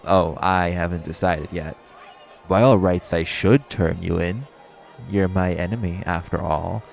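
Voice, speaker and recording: Vampire rival male actor: deep, unhurried, smugly flirtatious with a threat underneath, for intimate audio drama. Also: vocal fry. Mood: menacing teasing. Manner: smug, sardonic, teasing, swearing. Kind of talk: intimate audio roleplay acting.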